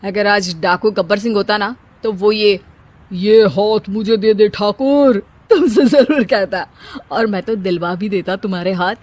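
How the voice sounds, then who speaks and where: A woman's voice: mid-range voice, fairly even intonation, unhurried, darkish tone, dry recording, natural voice. Cartoon young husband, played by a woman: sarcastic, wisecracking, exasperated banter. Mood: teasing.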